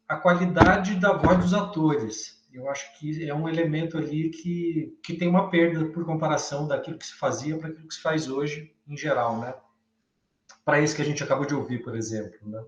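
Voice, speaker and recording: A Brazilian man giving thoughtful, halting commentary in a class discussion, thinking aloud as he goes. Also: fairly high pitch. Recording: echoey room, dull lo-fi sound.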